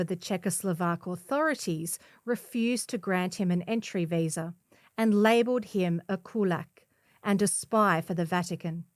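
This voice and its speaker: A woman's voice: fairly low pitch, no echo, clean studio sound. A woman reading a scripted feature article as a volunteer newspaper narrator. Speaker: volunteer reader of a community newspaper.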